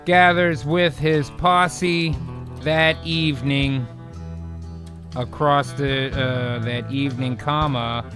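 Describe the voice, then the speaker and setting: A man's voice: medium pitch, steady tone, takes it slowly, a bit bright. A man hosting a comedy improv show, mixing jokey, profane banter with dramatic story narration. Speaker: host and improv performer narrating. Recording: guitar music behind the voice. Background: plucked guitar music.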